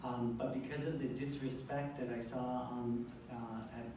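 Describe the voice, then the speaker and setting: A man's voice: mid-range voice, little pitch movement, takes it slowly. A man working as an interpreter, relaying someone's speech aloud at a public event. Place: indoor event room, heard from far off. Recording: distant mic, very echoey room, faint noise.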